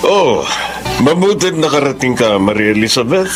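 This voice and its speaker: A man's voice: medium pitch, very animated, normal speed, music in the background, lightly acted. Commanding older Filipino patriarch played by a man. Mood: jovial, gracious. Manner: commanding, code-switches into English. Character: stern, domineering wealthy father, socially jovial. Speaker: radio drama actor. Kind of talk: Filipino radio drama acting.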